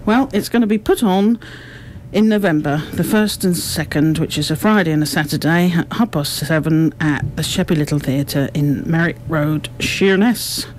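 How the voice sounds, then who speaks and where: A woman's voice: sits low, normal speed, darkish tone, poor audio. A woman giving articulate, explanatory, prepared-sounding answers in a radio interview. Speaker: amateur drama group member being interviewed. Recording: noticeable background noise.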